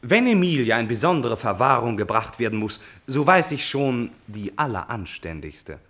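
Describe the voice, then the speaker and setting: An actor playing a self-assured, condescending prince in a classical German radio drama, with a courtly, theatrical way of talking. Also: male voice, mid-range voice, big pitch swings, moderate pace, fairly bright tone. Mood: placating.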